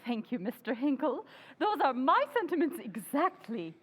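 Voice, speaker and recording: An actress playing a bossy, pompous society hostess who fancies herself a medium, in old-time radio drama style with grand theatrical delivery. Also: female voice, high voice, sing-song, moderate pace. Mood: bossy, fussy. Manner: imperious, theatrical, grandly intoning. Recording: echoey hall, live audience behind.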